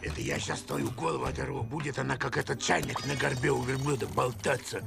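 A man playing a dim, brutish henchman in a cartoon, gravelly, blunt and menacing. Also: mid-range voice, lively intonation, a little fast. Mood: threatening. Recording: loud music over the voice.

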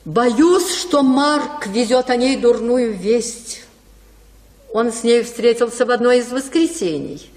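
High-voiced, fretful country mother. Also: slow pace, darkish tone. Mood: anxious. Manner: fretful, declamatory. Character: anxious, doting country mother. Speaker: radio drama actress. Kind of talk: theatrical verse radio play. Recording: long hall reverb.

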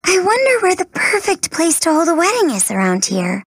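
Casting: a dreamy young princess bride for a game, high and lilting, earnest and romantic, dry studio sound. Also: female voice, huge pitch swings, fairly quick, no room sound, full character voice. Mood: joyful. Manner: earnest, sweet, wistful. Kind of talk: video game character acting.

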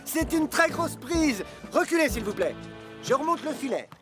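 A man's voice: extremely high pitch, big pitch swings, moderate pace. An actor voicing a cheerful male fisherman steering a boat full of children in a cartoon dub. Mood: eager. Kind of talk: cartoon voice acting. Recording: music playing behind.

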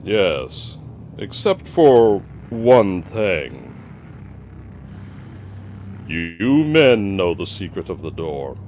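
A man's voice: low voice, big pitch swings, extremely slow, long pauses, a little mellow, a little hiss or hum, pitched below the performer's own voice, exaggerated voice. A man voicing a paranoid, fearful king. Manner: frantic cries and anxious muttering. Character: paranoid middle-aged king, fearful and ruthless.

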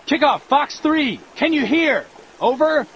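A man playing an excitable, anxious young American army radio operator with a strained voice. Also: extremely high pitch, measured pace, lo-fi sound, slight background noise, exaggerated voice. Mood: frustrated. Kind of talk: war game dialogue.

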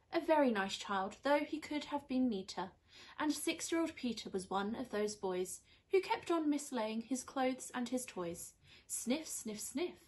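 A young woman reads a rhyming children's storybook aloud in an expressive, teacherly way. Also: a little high. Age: young adult. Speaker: primary school teacher reading aloud. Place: small indoor room on a sofa.

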